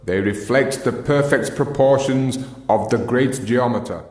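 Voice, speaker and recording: An actor in a radio play as a solemn Masonic master, speaking in ceremonial, archaic phrases in an echoing hall. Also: male voice, mid-range voice, measured pace, a little mellow, natural voice. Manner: ceremonial, incantatory, archaic diction. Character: solemn Masonic master leading an initiation ritual.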